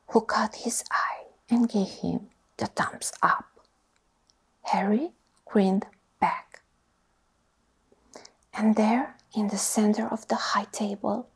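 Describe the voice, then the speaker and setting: A woman narrating a storybook in a soft, breathy ASMR whisper. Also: medium pitch.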